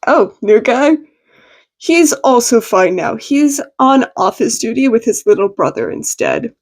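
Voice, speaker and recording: A woman's voice: a little high, sing-song, unhurried, exaggerated voice. A woman voicing a friendly, casual, teasing male masked vigilante in a story reading.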